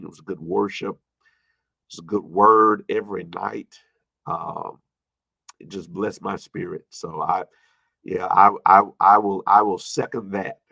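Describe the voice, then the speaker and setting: A man in his early fifties speaking as a pastor in an informal sermon, repeating phrases for emphasis and moving between loud and hushed delivery. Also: sits low, gravelly, no echo, poor audio. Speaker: pastor addressing an online congregation. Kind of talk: informal sermon with personal anecdotes and replies to viewer comments.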